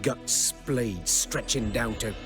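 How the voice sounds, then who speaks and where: An actor playing a weary outcast village hunter in a video game, rustic, plainspoken and guarded. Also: male voice, mid-range voice, takes it slowly, music in the background, natural voice. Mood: sombre, guarded.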